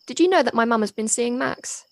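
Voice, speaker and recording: A woman's voice: a little high, expressive, brisk. A woman acting in an audio play as a young local daughter, loyal, polite and matter-of-fact, friendly but a little guarded. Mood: guarded, reassuring. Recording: faint noise, home personal-device recording.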